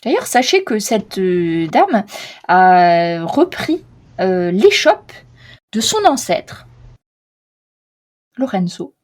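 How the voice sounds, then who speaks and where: A courteous female clock expert from Florence, voiced by a woman. She talks formally and politely but hesitates often, stalling on fillers. Her voice sits at a middle pitch. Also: very animated, drawn-out words, pauses often, darkish tone, exaggerated voice.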